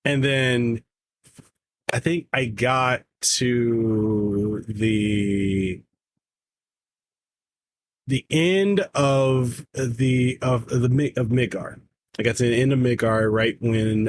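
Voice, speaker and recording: Mid thirties guy telling a story on a podcast, stumbles and repeats himself, middle pitch. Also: slight background noise. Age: 30s. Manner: halting, repeats words, storytelling. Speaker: podcast co-host. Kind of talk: casual storytelling chat.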